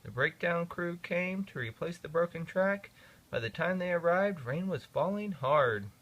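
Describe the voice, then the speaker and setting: A man reading a children's storybook aloud to his kids. His delivery is expressive and sing-song, with a fairly high voice. The pitch rises and falls a lot. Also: unhurried, low-quality recording.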